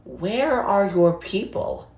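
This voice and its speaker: A storybook narrator voicing a reserved, sympathetic old village woman who speaks plainly and gravely. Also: medium pitch, very animated, slow pace, a little mellow, voice pushed up. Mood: guarded. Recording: echoey, roomy sound.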